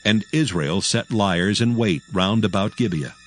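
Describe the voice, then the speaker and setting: A man narrating scripture from a script in a solemn, measured way. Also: lowish voice. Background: music.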